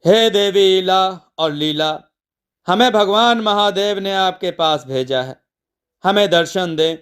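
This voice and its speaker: A man's voice: high-pitched, takes it slowly, fairly bright tone, smooth. A man voicing a devout, childless king and queen humbly pleading with the gods in a mythological story. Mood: reverent, pleading. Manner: reverent, supplicating.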